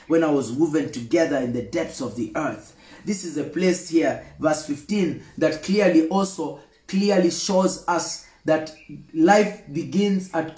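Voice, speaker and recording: Kenyan pastor guy in his 30s, emphatic and repetitive, fairly high voice, phone cam in a roomy echoey room. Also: a little mellow. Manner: emphatic, repetitive, gesturing preacher. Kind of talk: livestreamed daily devotional sermon. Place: indoor room with screen and flowers.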